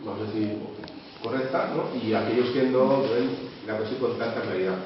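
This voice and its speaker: A man's voice: mid-range voice, steady tone, normal speed. A man organizing a public debate, introducing the speakers in a rambling way, full of little tag questions. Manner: rambling, full of "¿no?" and "o sea" tags. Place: meeting room of a local association. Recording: echoey, muffled room recording from a distant mic with background noise.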